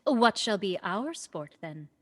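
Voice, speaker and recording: A woman's voice: medium pitch, very animated, takes it slowly, a bit bright, full character voice. A woman playing a wistful but witty young noblewoman, a banished duke's daughter, in a Shakespearean radio play. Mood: curious.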